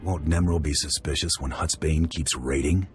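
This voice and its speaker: A man's voice: very deep voice, steady tone, moderate pace, faint music behind. An actor playing a tough male bounty hunter who talks in a blunt, defiant way.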